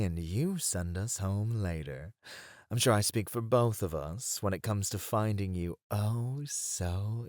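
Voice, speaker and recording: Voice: mid-range voice, no echo, studio quality, close to an everyday voice. An actor voicing a suave, dominant demon who flirts and teases in an ASMR roleplay. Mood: coaxing, impressed. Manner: slow, purring, teasing delivery.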